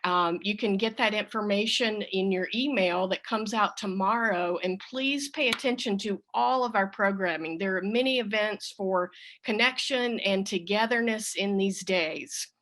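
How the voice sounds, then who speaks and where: A woman's voice: medium pitch, moderate pace, few pauses, a bit bright. A woman leading a church service, giving informal spoken announcements to her congregation.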